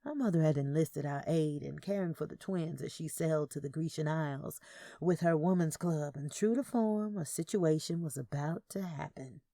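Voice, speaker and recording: A woman's voice: low voice, normal speed, mellow, dry recording, studio quality. A woman narrating a first-person audio story in a dramatic reading style.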